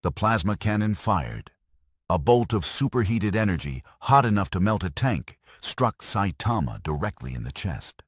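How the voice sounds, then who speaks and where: A man's voice: deep voice, sing-song, normal speed, husky, no echo, studio quality. A man narrating an action audio novel in a dramatic storytelling style.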